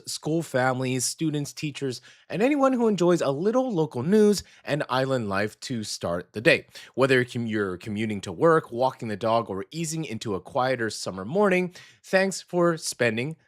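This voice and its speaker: A man hosting a morning radio show in casual, chatty talk, his voice hoarse and gravelly after a weekend out. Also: mid-range voice, lively intonation, moderate pace. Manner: upbeat and chatty, with many fillers.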